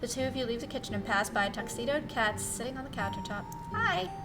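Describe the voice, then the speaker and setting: A woman streamer reading visual novel text aloud in an expressive, theatrical way and adding side comments. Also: on the high side, fast talker, far from the mic, rough recording. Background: music.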